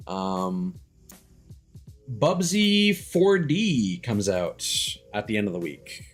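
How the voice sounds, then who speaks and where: Casting: male streamer, lower-pitched, hesitant casual chat that meanders, faint music behind. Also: big pitch swings, takes it slowly. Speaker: game live streamer.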